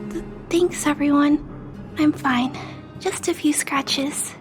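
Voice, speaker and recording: A gentle little girl from a video game, earnest and cautious. Her voice is high, with polite, hesitant phrasing over faint music. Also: fairly even intonation, slow pace. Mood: earnest, timid. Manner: soft-spoken, hesitant, polite.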